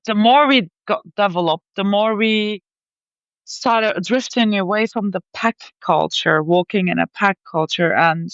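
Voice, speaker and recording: A woman from Belgium telling her story as a podcast guest, animated and chatty, with lively asides. Her voice comes through a little dull and muffled, in a dry, close space. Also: mid-range voice, clear, resonant tone, no echo. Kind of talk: conversational interview.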